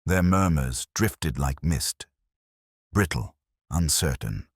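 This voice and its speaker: A man playing a weary, melancholy police inspector, narrating a detective drama in solemn, measured, literary phrasing. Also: extremely low pitch, lively intonation, no echo, studio quality, heavily acted. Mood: somber, resigned. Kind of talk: first-person scripted detective drama narration.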